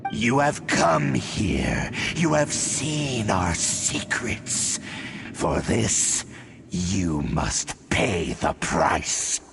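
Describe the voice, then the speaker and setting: An actor playing a cold, menacing male Abyss Herald in a video game, with slow, ominous, theatrical speech. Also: very animated, music in the background, heavily acted. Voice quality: deep gravelly growl.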